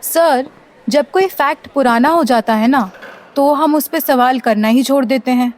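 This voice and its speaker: A woman's voice: sits high, unhurried, darkish tone. A young woman playing a curious, polite university student asking her teacher questions in a radio play. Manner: inquisitive, polite.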